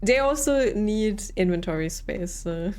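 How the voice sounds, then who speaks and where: Slow-talking woman commenting on gameplay. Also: mid-range voice, expressive, a little mellow, slight background noise. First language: not English. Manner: chatty, laughs often. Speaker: gameplay streamer.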